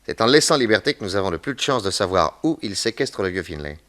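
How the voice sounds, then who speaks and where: A man playing a shrewd, commanding master detective who explains deliberately in a 1960s radio serial. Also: sits low, sing-song, fairly bright tone. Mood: confident, reasoning.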